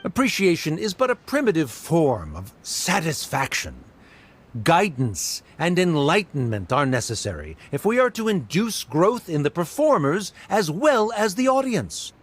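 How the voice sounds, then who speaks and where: Haughty game scholar, fairly high male voice, pompous, condescending, stern, very varied intonation. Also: wildly swooping pitch, a little slow, full character voice. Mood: lofty, dismissive. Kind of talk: video game voice acting.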